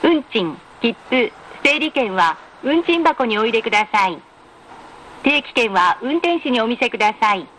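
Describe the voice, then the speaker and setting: A woman reading scripted in-car train announcements in a formal, polite, measured way with careful enunciation. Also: on the high side, sing-song, fairly bright tone. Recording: noisy train announcement recording.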